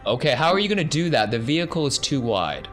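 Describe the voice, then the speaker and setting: A man running a tabletop roleplaying game as game master, narrating off the cuff in a conversational, excitable way. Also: mid-range voice, quick pace, faint music behind. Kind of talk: improvised game narration, casual banter.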